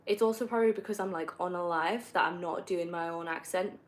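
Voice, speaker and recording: A young woman from northeast England with a soft northeastern accent, chatting casually on a livestream while gaming, rambling with many fillers and self-corrections. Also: fairly low pitch, fairly quick. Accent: northeast English, softened. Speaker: livestreamer answering viewer questions. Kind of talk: casual live-stream chat while gaming.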